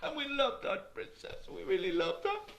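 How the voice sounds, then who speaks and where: A man's voice: extremely high pitch, very animated, normal speed, vocal fry, fairly bright tone, voice pushed up, heavily acted. A man voicing weeping townsfolk who wail about a lost princess. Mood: sobbing. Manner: wailing, tearful. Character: grieving townsfolk, weeping adults.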